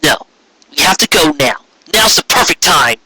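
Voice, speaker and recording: A man's voice: fairly high pitch, very animated, moderate pace, pushed and bright, breathy edge, no echo, heavily acted. A man playing a blunt, determined young female pony who urges others on in a story. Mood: insistent.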